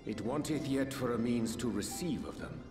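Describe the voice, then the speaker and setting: A man voicing a grave, scholarly sage in a video game, speaking in formal, deliberate archaic English. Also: mid-range voice, natural voice. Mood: grave, measured. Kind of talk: scripted video game voice acting. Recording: echoey hall reverb under music.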